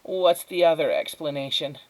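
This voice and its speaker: A man's voice: fairly high pitch, moderate pace, dry recording, pitched above the performer's own voice, natural voice, poor audio. A man voicing a flustered, incredulous neighbor woman in a storybook, with dramatic exclamations. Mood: skeptical.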